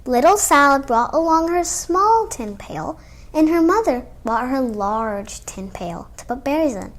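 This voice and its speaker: High-pitched female storytime reader. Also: expressive, measured pace. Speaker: children's storytime reader and host. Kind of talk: read-aloud storytelling with casual asides.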